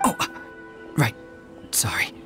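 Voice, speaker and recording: A man's voice: slow pace, over music, close to an everyday voice. An actor playing a wary, gossiping townsman in a video game, uneasy in manner.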